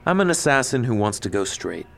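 A man's voice: mid-range voice, sing-song, brisk, gravelly, fairly bright tone. A man playing a teasing, determined assassin who wants to go straight, coaxing and emphatic, in an audio drama. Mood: firm.

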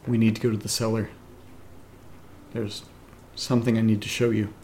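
An actor playing an uncanny, insistent man in a horror audio drama, speaking deadpan. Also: on the low side, normal speed, a little hiss or hum, close to an everyday voice. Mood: eerily calm. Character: flat, insistent, uncanny male companion urging descent.

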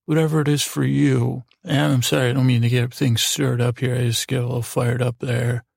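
A man hosting a podcast in a lulling, meandering monologue, halting and self-deprecating, with many false starts. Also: mid-range voice, flat delivery, vocal fry, a little mellow, no room sound, clean studio sound. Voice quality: lulling, soothing tone. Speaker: podcast host. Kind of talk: rambling monologue meant to lull listeners to sleep.

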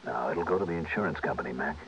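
An actor playing a steady, hard-boiled male investigator in a 1960s radio drama, speaking in a clipped, matter-of-fact way. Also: extremely low pitch, rapid-fire, gravelly, a little hiss or hum, exaggerated voice. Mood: calm, soothing. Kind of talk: 1960s radio drama acting and first-person narration. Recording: muffled vintage radio recording with music.

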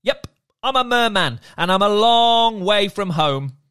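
A man's voice: sits high, animated, measured pace, bright, forceful tone, clean tone, voice pushed up, exaggerated voice. A man doing a breezy, cheerful merman. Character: cheerful laid-back male merman, easygoing.

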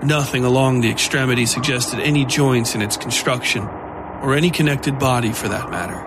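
A man's voice: medium pitch, background noise. A man reading scripted, dramatic narration for a horror fiction podcast. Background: music.

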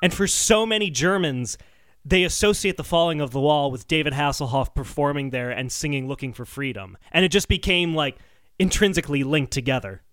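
A man co-hosting a podcast, telling researched stories in a casual, animated chat. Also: a little high, a little fast, no echo. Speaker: podcast co-host.

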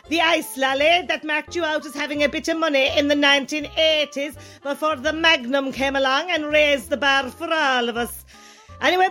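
An actress as a gossipy, put-upon County Cork woman in a comedy sketch. Her voice is high and sharp, full of catty exasperation, over faint music. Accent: Irish, County Cork. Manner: griping, catty. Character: exasperated, gossipy small-town Irish woman with airs. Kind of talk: scripted comedy monologue.

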